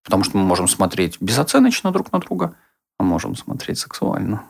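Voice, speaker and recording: A man's voice: on the low side, wildly swooping pitch, brisk, creaky. A man co-hosting a podcast as a psychologist, explaining ideas step by step with rhetorical questions. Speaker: psychologist and sexologist co-host.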